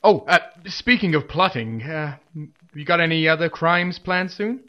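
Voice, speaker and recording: A man's voice: a little high, a little slow, muffled recording, lightly acted. A man playing a pompous, earnest superhero commander in a comedy audio drama, with heroic formality that slips into friendly chat. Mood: chatty.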